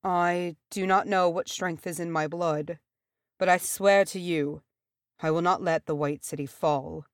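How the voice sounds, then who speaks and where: A woman voicing a gentle, solemn warrior man of royal blood in a storybook, speaking quietly and gravely. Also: on the low side, moderate pace, hardly any pauses, dry recording, studio quality, natural voice. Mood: solemn resolve.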